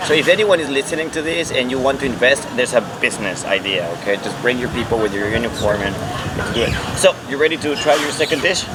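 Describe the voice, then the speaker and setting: A man's voice: medium pitch, animated, heavy background noise. A man, hosting a casual brunch chat on an outdoor restaurant patio. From Mexico. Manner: chatty, laughing, quick asides. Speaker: vlog host. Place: outdoor restaurant patio under a thatched roof. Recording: music playing behind the voice. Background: loud background music.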